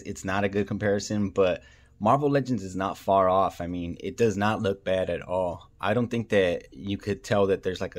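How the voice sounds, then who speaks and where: A man hosting a casual toy review, speaking informally and enthusiastically with plenty of slang. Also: low voice.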